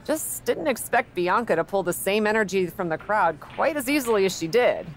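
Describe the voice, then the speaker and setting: A woman's voice: mid-range voice, animated, normal speed, natural voice, rough recording. An actress playing a confident, playful woman wrestler in a video game cutscene. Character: confident, punning female wrestling champion.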